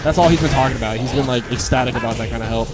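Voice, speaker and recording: A man's voice: mid-range voice, very fast, over loud music. Laid-back male esports host. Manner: laid-back, chatty, frequent fillers. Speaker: tournament stream host and commentator. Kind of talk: casual esports play-by-play.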